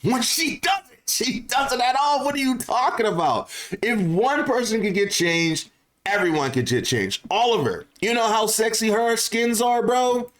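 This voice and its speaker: A man's voice: extremely high pitch, lively intonation, measured pace, fairly bright tone. A man giving casual, opinionated gaming commentary to viewers, speaking in an informal, slangy way and repeating phrases for emphasis. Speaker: gaming YouTuber.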